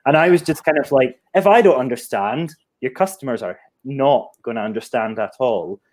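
A man's voice: medium pitch, expressive, moderate pace, a little mellow. Chatty Scottish man. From Scotland. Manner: chatty, self-deprecating, laughs easily. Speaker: guest, head of marketing. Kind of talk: casual chat on a live video call.

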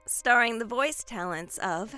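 A woman's voice: fairly high pitch, animated, unhurried, pushed and bright, soft background music. A woman announcer giving a scripted reading of the closing credits.

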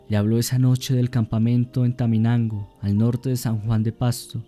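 A man's voice: medium pitch, mellow. Solemn man narrating a radio serial. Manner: solemn, theatrical, measured phrasing.

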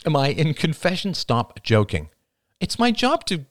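A man's voice: on the high side, wildly swooping pitch, moderate pace, voice pushed up. A man voicing a frightened, flippant young woman in an audiobook, breathless, shaky and blurting out words. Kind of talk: audiobook character dialogue.

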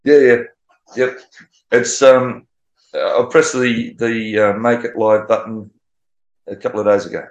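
A man's voice: medium pitch, little pitch movement, unhurried, long pauses, roomy sound, rough recording. A man, an author guest on a radio chat, talking earnestly. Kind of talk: casual radio interview.

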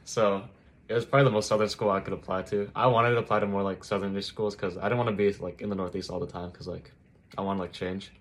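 A man's voice: sits low, flat delivery, quick pace. A young man from Thailand, an international college student, chatting easily in an interview and leaning on "like" fillers. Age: young adult. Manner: easygoing, heavy use of "like" fillers. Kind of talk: casual interview chat.

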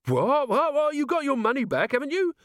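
A man's voice: mid-range voice, lively intonation, slow, no echo, clean studio sound. A man voicing a nervous petty thief in a storybook, anxious, pleading and eager to appease. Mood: bewildered.

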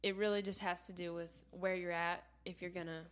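Low, flat-voiced young woman. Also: a little fast. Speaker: podcast co-host. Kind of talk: casual faith chat.

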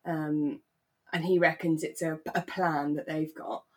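A woman with a slightly low, husky voice chats as a podcast co-host. She talks in a relaxed, conversational way, working out her thoughts as she goes and checking for agreement. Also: unhurried. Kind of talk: relaxed chat discussing a radio drama.

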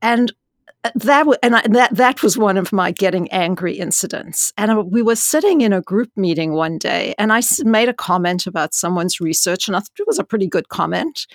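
Frank, loud-voiced woman with gravelly edges. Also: mid-range voice, fairly quick, dry recording, clean studio sound. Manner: frank and animated, many "you know"s, rising to emphasis. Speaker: dean and scientist. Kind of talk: candid interview storytelling.

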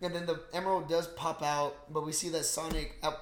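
A man with a fairly high voice talks in a roomy, echoey space. He chats casually and animatedly as a podcast co-host, full of slang and swearing, often addressing his friend as "bro.". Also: little pitch movement, a little fast, a little hiss or hum. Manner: slangy, profane, peppers talk with "bro". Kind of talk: casual, animated movie recap chat.

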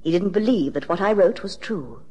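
A haughty, bitter society wife in a 1960s radio drama. She speaks precisely in a husky, expressive voice, coolly calculating. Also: mid-range voice. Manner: precise, haughty, measured formal diction.

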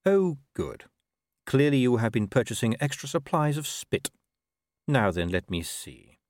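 Man playing a dry, measured, sardonic ruler. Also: medium pitch, a little hiss or hum. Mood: dry, patient. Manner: measured, formal, deadpan. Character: dry, urbane, patient male ruler, quietly sardonic.

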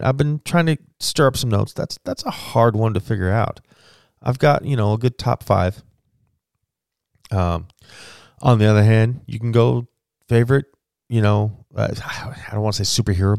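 A man's voice: fairly low pitch, a little mellow, clean tone, no echo, studio quality. A man in his forties hosting a solo podcast, rambling casually with lots of restarts and fillers. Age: 40s. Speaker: podcast host.

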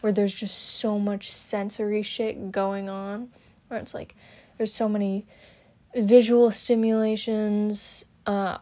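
A young woman talking about her own experience, hesitant and halting, with many fillers and restarts. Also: mid-range voice, dark tone. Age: young adult. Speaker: student podcaster. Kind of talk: personal talk about her stutter.

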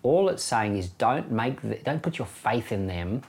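A man's voice: lowish voice, sing-song, unhurried, a little mellow. A middle-aged man with an Australian accent gives informal Bible commentary as a pastor, in a plain-spoken, conversational way. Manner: conversational, plain-spoken, chuckles now and then. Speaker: pastor hosting a Bible podcast.

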